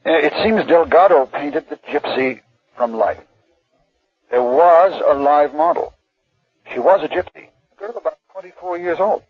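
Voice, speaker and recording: A polite, fidgety male art dealer in a radio play. His voice is very husky and rather high, and he stammers apologetically on a dull, dry recording. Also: moderate pace, no echo. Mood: storytelling. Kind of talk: radio drama acting. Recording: dull, dry old radio drama audio.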